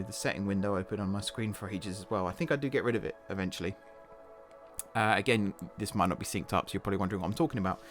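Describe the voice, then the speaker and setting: A man, slightly hoarse, narrating his own gameplay in a rambling, self-correcting, casual voice-over. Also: lowish voice. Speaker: gaming channel host. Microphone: desktop voice-over mic.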